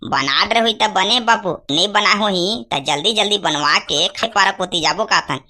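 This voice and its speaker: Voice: mid-range voice, normal speed, background noise, natural voice. An actor playing a cheeky, eager village boy in a cartoon comedy, impatient and argumentative, with a Chhattisgarhi accent.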